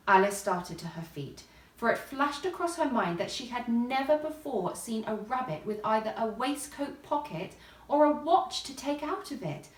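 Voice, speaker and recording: A woman reading a children's story as expressive scripted narration, with a theatrical, deliberate storytelling manner. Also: on the high side. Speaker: storybook reader. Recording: roomy echo, distant microphone.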